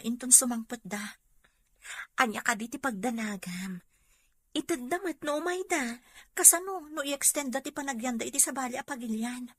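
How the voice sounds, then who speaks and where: A woman's voice: high-pitched, sharp tone. A woman playing a worried Filipino mother working abroad in a drama. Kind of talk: comedy-drama acting.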